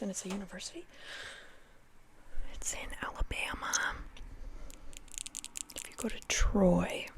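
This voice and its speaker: A woman's voice: medium pitch, a little mellow. Young woman whispering asmr right into the mic, chatty and playful, keeps repeating words. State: dry mouth. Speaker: ASMR host. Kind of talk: whispered ASMR sleep-permission talk.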